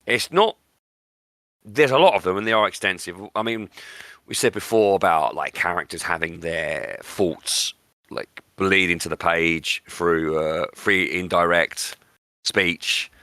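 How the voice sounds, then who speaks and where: A man's voice: deep voice, a little slow, sharp tone, dry recording. A man hosting a podcast, discussing books in an opinionated, emphatic way and repeating words for stress. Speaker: podcast host.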